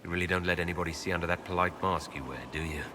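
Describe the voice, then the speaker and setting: A man's voice: low-pitched, fairly even intonation, rapid-fire, noisy background, close to an everyday voice. A man playing an earnest, wounded elf hero in a fantasy video game, direct and firm. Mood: hurt. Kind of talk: dramatic video game acting.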